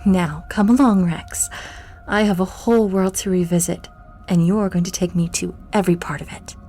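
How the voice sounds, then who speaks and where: Female actor as firm, bossy wife, lowish voice, teasing menace, audio roleplay with music. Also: steady tone, mellow, close to an everyday voice. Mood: teasing command. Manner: measured, imperious, teasing. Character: commanding, playfully threatening wife and daughter, firm and teasing. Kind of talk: scripted ASMR audio roleplay.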